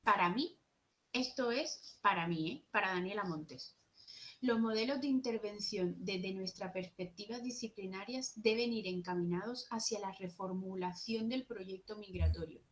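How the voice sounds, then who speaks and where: Young woman, Jerez Andalusian accent, mid-pitched, earnest seminar speaker who leans on key words. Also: normal speed, low-quality recording. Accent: Andalusian Spanish, from Jerez. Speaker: speaker at a university social work seminar. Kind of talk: conference talk, partly read.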